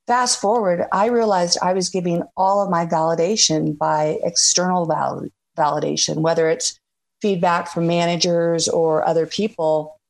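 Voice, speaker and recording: A woman's voice: lowish voice, steady tone, moderate pace, gravelly, a little mellow. A middle-aged woman guesting on a faith-based radio interview, talking earnestly and reflectively with frequent fillers. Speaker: guest, former executive.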